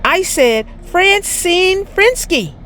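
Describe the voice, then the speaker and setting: High girlish pitch, bossy, self-important little princess played by a woman, grand swooping delivery, children's storybook, music behind. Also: sing-song, drawn-out words, fairly bright tone, a little hiss or hum, exaggerated voice. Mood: grand, imperious. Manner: grand, commanding, announcing.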